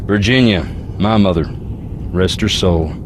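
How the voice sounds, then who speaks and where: Over clear background noise comes a brooding Southern US young man from an adventure game. His voice sits low, with a folksy drawl. He muses philosophically with dry, wry wit. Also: unhurried. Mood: reflective. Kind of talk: video game character dialogue.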